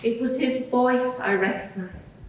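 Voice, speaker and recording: A woman's voice: sits high, a little slow, slight background noise. A woman playing an earnest, devoted witness giving brief, hesitant, candid answers in a dramatised courtroom hearing. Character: earnest female witness, devoted follower of Jesus. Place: large reverberant courtroom. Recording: echoey hall, distant microphone.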